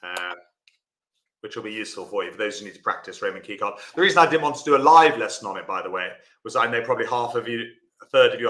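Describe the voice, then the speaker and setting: A man teaching a live online lesson in a chatty way, greeting viewers as he explains. His voice is bright and forward. The room adds a clear echo. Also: mid-range voice. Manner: chatty, talks to viewers by name while explaining. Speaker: bridge teacher.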